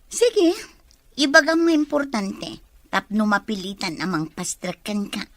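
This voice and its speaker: A woman's voice: mid-range voice, big pitch swings, a little slow, dry recording. A woman with an Ilocano Filipino accent playing a greedy, conniving accomplice in a radio drama. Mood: goading.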